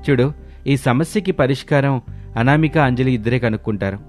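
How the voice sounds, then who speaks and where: A man playing a concerned, earnest grown son in an animated family story, reasoning with his brother. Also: medium pitch, fairly quick, close to an everyday voice. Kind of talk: animated moral story dialogue. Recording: voice over prominent music bed.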